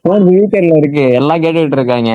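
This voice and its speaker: A man's voice: fairly high pitch, expressive, quick pace, dry recording, narrow, tinny audio. Man on a casual, dry-sounding call. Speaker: prank call recipient. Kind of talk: casual phone chat in slang.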